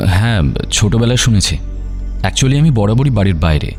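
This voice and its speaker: A man's voice: lowish voice, expressive, normal speed, darkish tone, natural voice. A man playing an indifferent expatriate home from America, offhand and peppering his talk with English phrases in an audio drama. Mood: aloof, offhand. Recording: faint music behind the voice.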